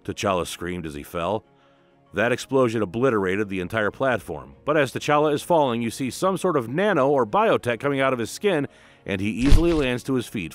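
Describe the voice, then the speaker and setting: A man narrating a scripted comic book recap with informal commentary in a dramatic, emphatic way. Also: lowish voice.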